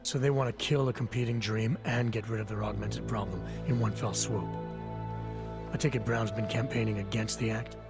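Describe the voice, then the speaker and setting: An actor playing a terse, stoic, guarded augmented agent in a video game, speaking in a deadpan manner. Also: male voice, fairly low pitch, moderate pace, close to an everyday voice. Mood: guarded, probing. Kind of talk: video game cutscene acting. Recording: loud music behind the voice.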